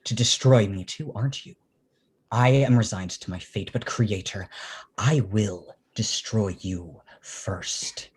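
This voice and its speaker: An actor playing an outcast monster, pleading and vengeful, in a dramatic staged reading, halting and intense with a husky voice. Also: sits low, heavily acted. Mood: bitter menace. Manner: intense, halting, swinging from whispers to cries.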